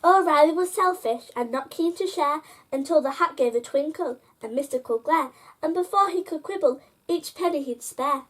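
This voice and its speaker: A woman's voice: high voice, normal speed, few pauses. A woman storyteller reading a rhyming picture book expressively, in a sing-song manner, speaking directly to children.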